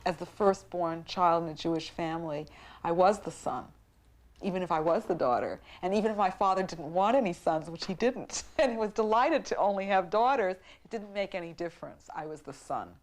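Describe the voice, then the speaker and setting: Soft-spoken reflective woman in her forties. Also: fairly low pitch, expressive, a little fast. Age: 40s. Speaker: interviewee. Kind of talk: reflective personal interview.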